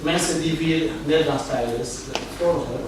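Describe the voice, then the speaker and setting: A man speaking Dutch as a second language, a foundation representative giving a short speech, mixing in English words and pausing often. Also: fairly high pitch. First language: not Dutch. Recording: echoey hall from far off, noisy.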